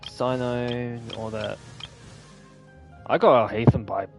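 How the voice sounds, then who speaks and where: A man's voice: mid-range voice, fairly even intonation, slow pace, soft background music. A man giving casual, unscripted gameplay commentary in an offhand, rambling way. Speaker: gaming video host.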